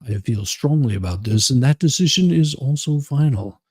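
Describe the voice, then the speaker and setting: A man playing a stiff, earnest, non-committal man in a dramatic reading, husky and low-toned, with a measured, solemn delivery. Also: animated, darkish tone, no room sound. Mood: firm, solemn.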